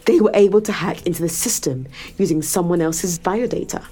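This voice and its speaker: Cold menacing sci-fi interrogator lady, kinda low voice, controlled and taunting, audio drama. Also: a little fast, mellow, breathy edge. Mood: accusing, controlled. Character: cold, menacing woman interrogator in a near-apocalypse sci-fi world.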